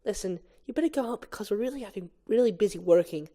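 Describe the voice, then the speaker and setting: Man voicing a young cartoon woman, florist, placating, hesitant, gently reasoning, lively pitch. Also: quick pace.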